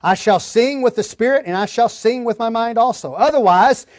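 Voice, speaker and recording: A man's voice: very high voice, moderate pace, no room sound, clean studio sound. A man preaching a verse-by-verse Bible lesson in an emphatic, folksy, plain-spoken way. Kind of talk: Bible-teaching sermon, verse-by-verse reading and explanation.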